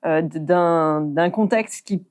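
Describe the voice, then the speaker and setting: A woman's voice: low-pitched, slow, darkish tone, clean tone. A woman interviewer asking questions informally and encouraging her guest. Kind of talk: casual interview.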